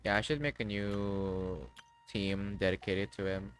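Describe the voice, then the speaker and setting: Low-voiced man streaming a game, offhand. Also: flat delivery, unhurried. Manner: slangy, offhand reactions. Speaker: livestreamer playing a game. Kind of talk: casual gameplay commentary.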